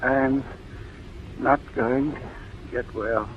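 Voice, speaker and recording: A man playing a frail, dying old uncle in a 1940s radio drama, halting, weary and resigned. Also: mid-range voice, fairly even intonation, breathy edge. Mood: weak, resigned. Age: older. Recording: tinny, hissy old radio recording.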